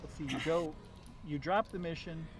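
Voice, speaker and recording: A man's voice: on the high side, normal speed. A man in casual conversation with fellow gamers on a group voice chat. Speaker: gamer on a group gaming podcast. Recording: noisy voice-chat with clear background hum.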